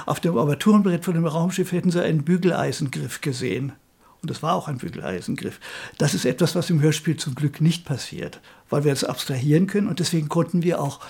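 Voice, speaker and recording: An older man recalls his working years in an interview. His voice is fairly high for a man and noticeably husky, with the conversational delivery of someone reminiscing. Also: darkish tone. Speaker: interviewee, retired radio drama director. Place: apartment.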